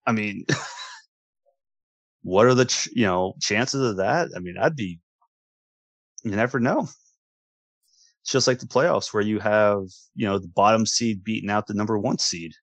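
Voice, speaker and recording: An American man co-hosting a sports podcast in casual chat, agreeable and a bit halting, often repeating himself. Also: lowish voice, dry recording.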